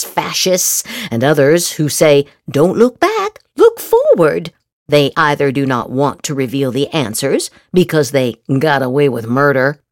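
A woman's voice: deep voice, no room sound, studio quality. A woman podcast host reading political social media posts aloud dramatically, with theatrical, exaggerated inflection.

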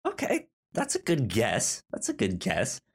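A man in his thirties hosting a podcast in casual chat, halting, with many repeated starts and stammers. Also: mid-range voice, huge pitch swings, breathy edge. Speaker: podcast host.

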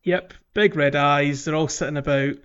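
A man's voice: a little high, fairly even intonation, normal speed, fairly bright tone, smooth, muffled recording. A man co-hosting a casual video game podcast, chatting and explaining in a hesitant, circling way. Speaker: podcast host.

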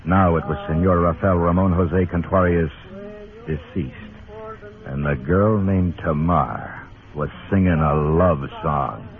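An actor playing a world-weary, sardonic U.S. marshal in a noir radio Western. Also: male voice, deep voice, a little slow, crowd noise. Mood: grim, weary. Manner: terse, sardonic. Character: hard-boiled, world-weary Western United States marshal. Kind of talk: noir radio drama narration and dialogue. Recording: tinny old radio recording.

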